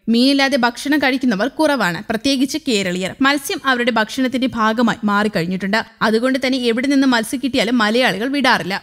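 A woman with a bright, high voice and a Malayali accent reads a scripted news report. Her delivery is even, formal and steady, a clean studio voice-over. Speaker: news reader.

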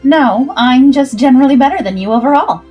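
A woman's voice: sits high, expressive, fairly quick, husky, muffled recording, faint music behind. A woman voicing a cocky young female pirate helmswoman who banters smugly in a story. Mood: smug teasing. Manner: smug, bantering.

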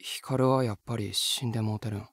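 A man playing a brooding, dry teenage schoolboy with a Mie dialect in a voice comic, low-key in manner. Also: steady tone, brisk, breathy edge, no echo. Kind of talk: voice comic acting. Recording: faint music and insects behind.

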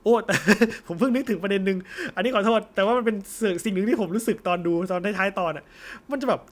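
A man's voice: high voice, fast. A young man chatting casually and informally as co-host of an anime discussion. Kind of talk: casual post-film chat.

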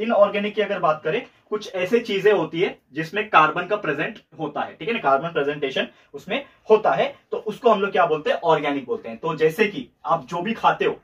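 Young man, Indian accent, hoarse tutor, fairly high voice, dull lo-fi sound. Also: a bit bright. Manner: fast, repetitive teacher talk with frequent "theek hai" checks. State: slightly hoarse throat, clearing it. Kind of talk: whiteboard lecture in Hindi-English mix. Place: room in front of a whiteboard. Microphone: camera at a distance. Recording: distant, dull lo-fi camera audio.

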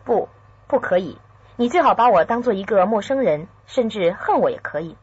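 An actress playing a secretive, troubled but tender young woman in a radio drama, with a husky, breathy voice. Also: medium pitch, lively intonation, normal speed. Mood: guarded, pleading. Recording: dry, slightly dull studio sound.

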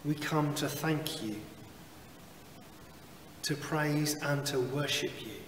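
A man in his thirties leads prayers and reads scripture in an unhurried, measured way. His voice sits a little high and carries a long reverb tail from the chapel. He is heard from a distance with faint room noise behind. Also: fairly bright tone. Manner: slow, measured liturgical delivery. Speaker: chaplain leading worship. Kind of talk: reading scripture and leading prayers. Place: small chapel with wood-panelled wall. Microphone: far camera, seated behind a lectern.

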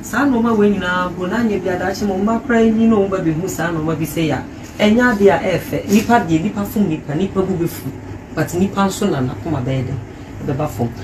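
A man's voice: sits high, fast talker, echoey room, recorded from a distance, noisy background. A man mediating a quarrel, lecturing both sides with growing emphasis.

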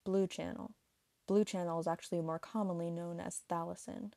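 Woman doing video explainer voiceover, kinda low and relaxed, very dry sound. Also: normal speed, creaky, no echo. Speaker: narrator of an online video. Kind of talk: scripted explainer narration.

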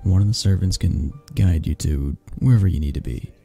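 A man playing a possessive, flirtatious mafia boss in a romantic audio roleplay, husky and gravelly, speaking with intimate condescension and long pauses. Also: low voice, mellow, full character voice. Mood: teasing, flirtatious. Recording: music behind the voice. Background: background music.

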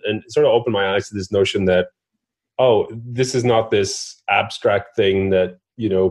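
Male interview guest, forties, from Norway. Casual, rambling and self-deprecating, with a lowish, husky voice. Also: a little mellow. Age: 40s. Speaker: interview guest, startup investor.

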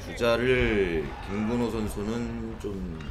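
A man calling an amateur baseball game live, moving from measured calls to excited shouts. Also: fairly low pitch, background noise. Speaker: play-by-play commentator.

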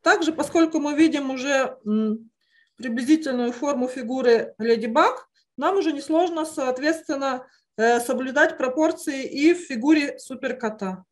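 Female art teacher, middle-aged, with a high-pitched voice, giving children encouraging step-by-step drawing instruction over a video call. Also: moderate pace. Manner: encouraging, uses affectionate diminutives. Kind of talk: online drawing lesson for children. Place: indoor room, on a video call.

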